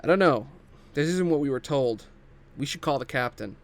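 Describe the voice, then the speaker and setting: A man's voice: mid-range voice, animated, normal speed, lightly acted. Man playing a wary, conscientious starship officer. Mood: doubtful.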